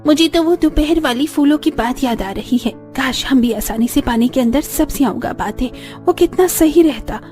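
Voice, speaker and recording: Very high-pitched earnest village daughter-in-law acted by a woman. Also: moderate pace, few pauses, a little mellow, faint music behind, close to an everyday voice. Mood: earnest, hopeful. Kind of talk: cartoon story dialogue.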